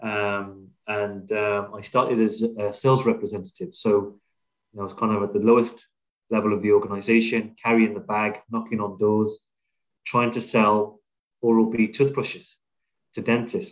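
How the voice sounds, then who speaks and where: A middle-aged man from Wales with a British accent, talking conversationally and reflectively as a podcast guest, with frequent pauses. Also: on the low side, flat delivery, rough recording. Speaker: podcast guest, business leader. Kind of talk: conversational interview, telling own career story.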